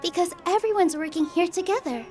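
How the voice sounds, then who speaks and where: A woman playing a gentle, kind young dancer in a game, speaking earnestly and in a friendly way. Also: very high-pitched, fairly quick, soft background music.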